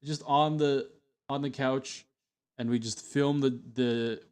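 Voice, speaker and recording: A man's voice: mid-range voice, fairly even intonation, slow, a little mellow, smooth. A young adult man streaming, chatting casually with lots of fillers and restarts as he looks back on old videos. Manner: rambling, many fillers and restarts.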